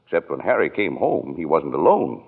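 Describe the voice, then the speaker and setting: An actor playing a kindly storytelling narrator in a 1940s radio drama. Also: male voice, very deep voice, very animated, brisk, heavily acted. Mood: kindly, informative. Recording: tinny vintage radio broadcast.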